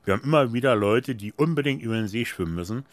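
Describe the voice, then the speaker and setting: A man's voice: medium pitch, lively intonation, quick pace, dry recording, studio quality. An older man explaining things in an interview as a veteran lifeguard chief. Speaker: head of lifeguard operations.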